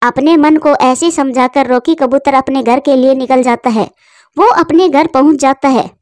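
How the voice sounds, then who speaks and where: A woman's voice: sits high, moderate pace, a little mellow. A woman reading a scripted children's story as its narrator.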